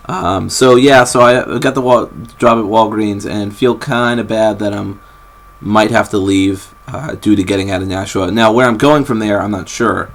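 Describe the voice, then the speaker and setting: A man's voice: lowish voice, vocal fry. A young man from New England giving a hesitant, rambling life update to his viewers, full of ums and restarts. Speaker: vlogger. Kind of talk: casual rambling update to camera.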